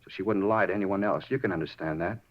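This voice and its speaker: An actor playing an unhinged, self-justifying murder suspect in a 1950s radio drama, rambling over small details and repeating himself. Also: male voice, deep voice, fast talker. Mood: earnest. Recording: muffled 1950s radio recording.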